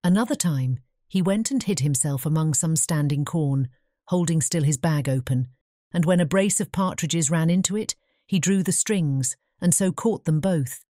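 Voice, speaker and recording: Storybook narrator: British woman, deep mellow voice, dry close recording. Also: no echo. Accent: British English. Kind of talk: scripted fairy-tale narration.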